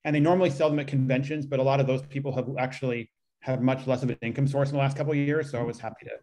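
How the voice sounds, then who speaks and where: A man's voice: mid-range voice, steady tone, very fast, darkish tone. A man explaining things casually in a rambling, unassuming way on a video call. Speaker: panelist, reader. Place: indoor room, video call.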